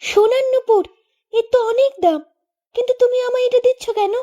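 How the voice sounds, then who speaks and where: Young village maiden, played by a woman: very high-pitched, sweet, obedient, inquisitive, cartoon melodrama. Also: brisk, mellow, muffled recording. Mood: shy curiosity. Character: sweet dutiful village girl.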